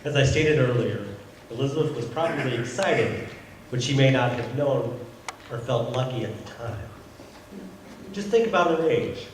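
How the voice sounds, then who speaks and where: A man with a medium-pitched voice reads out a prepared sermon. His delivery is measured and builds on repeated phrases. He sounds distant, in a strongly reverberant church. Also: lively intonation. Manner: measured, rhetorical repetition. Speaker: preacher. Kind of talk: scripted sermon. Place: church sanctuary. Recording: echoey church, distant mic, faint noise.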